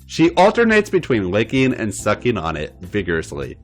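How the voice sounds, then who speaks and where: A man's voice: on the low side, huge pitch swings, moderate pace, soft background music. A man reading erotic fan fiction aloud as a podcast host, playful and theatrical.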